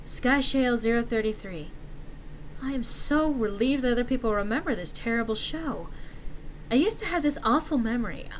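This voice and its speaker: A woman giving an expressive, theatrical reading of a horror story written as forum posts. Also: fairly high pitch, a little hiss or hum, low-quality recording.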